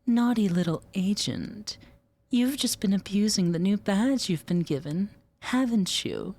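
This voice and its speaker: A woman's voice: mid-range voice, expressive, a little slow, a little mellow. A woman performing a scripted roleplay as a teasing, domineering superior, in a sultry, condescending drawl. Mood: teasing, coy. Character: teasing, domineering female superior scolding her agent.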